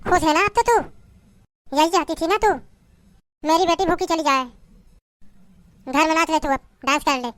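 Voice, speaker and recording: A woman's voice: very high-pitched, moderate pace, long pauses, dry recording. A woman playing a harsh middle-aged mother-in-law who berates and taunts her daughter-in-law in a family drama. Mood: bitter.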